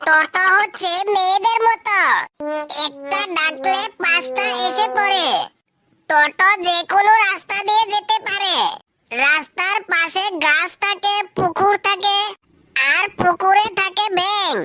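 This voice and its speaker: Very high, cheeky cartoon schoolboy. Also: measured pace, sharp tone, no room sound, exaggerated voice. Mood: earnest reciting. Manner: childlike, earnest reciting to his teacher. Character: cheeky young schoolboy pupil, dim but confident. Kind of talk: comedy cartoon dialogue.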